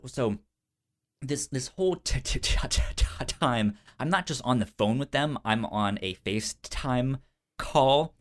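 Male podcast co-host, medium pitch, frequent stutter, casual storytelling, re-enacts dialogue. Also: lively intonation, a little slow. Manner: frequent stutter, repeating first sounds of words.

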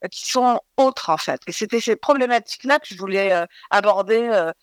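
A woman's voice: mid-range voice, a little fast, clear, resonant tone, no echo. A woman film director answering radio interview questions in a hesitant, thoughtful way, full of fillers and repeated words. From living in Toronto, Ontario.

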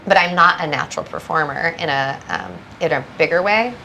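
A woman's voice: low voice, brisk, gravelly, sharp tone, slight background noise, poor audio. A woman from Southern California, a guest brand founder, talking reflectively in a casual podcast interview and thinking aloud.

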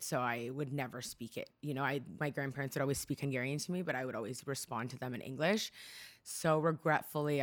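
A woman with a Canadian accent speaks in a low register. She talks as a guest in an interview, recounting personal experiences candidly and reflectively, often checking in with "you know". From Ontario, Canada.

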